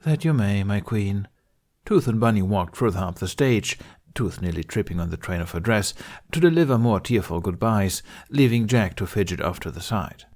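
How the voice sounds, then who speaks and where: A man's voice: fairly low pitch, expressive, moderate pace, darkish tone. A man reading a scripted story aloud as its narrator. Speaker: fan fiction podcast narrator.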